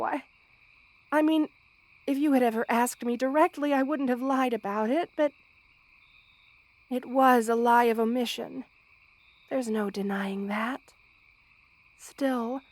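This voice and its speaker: A high-pitched, tender spider queen, voiced by a woman, ancient and motherly. She speaks in measured, formal phrases meant to soothe. The delivery is intimate ASMR roleplay, addressed straight to the listener. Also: steady tone, no echo. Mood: earnest, explaining. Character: motherly, gentle two-hundred-year-old spider queen monster woman.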